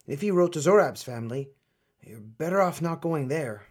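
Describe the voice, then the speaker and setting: A man acting in a radio play as a stern but protective older colonel and uncle figure, grave and deliberate. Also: a little high, animated, a little mellow, husky. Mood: grave, warning.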